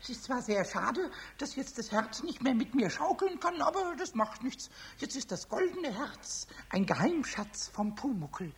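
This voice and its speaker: Squeaky, cheeky little kobold. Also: male voice, measured pace, few pauses, a little hiss or hum, full character voice. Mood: smug. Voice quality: squeaky, shrill, piping. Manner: singsong, excitable, rhyming, repeats himself. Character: cheeky, stubborn little male kobold, childlike and mischievous. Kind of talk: children's radio play acting.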